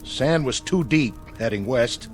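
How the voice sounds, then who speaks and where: An actor playing a weary, evasive astronaut in a vintage TV drama, sullen and defensive, stammering when pressed. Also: male voice, medium pitch, animated, unhurried, lightly acted. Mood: defensive, pleading. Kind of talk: mid-century television drama acting. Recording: music under dialogue.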